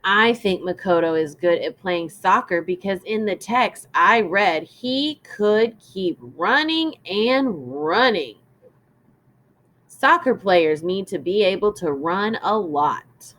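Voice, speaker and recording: A woman teacher reading a children's story aloud in an instructive, deliberate way, as in a lesson for young children. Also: medium pitch, animated, fairly bright tone. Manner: instructive, deliberate, prompting listeners with questions.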